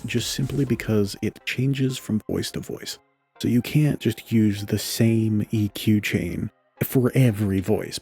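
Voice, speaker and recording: A young man with a deep, gravelly voice and a dark, mellow tone talks through a home-studio tutorial. He explains at an easy pace, stops to correct himself, and drops in lots of "you know"s. A faint fan hum and soft music sit behind him. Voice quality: low voice. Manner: relaxed, thinks aloud with self-corrections and filler "you know". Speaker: podcaster explaining audio mixing. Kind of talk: casual spoken tutorial. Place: home room with a fan running.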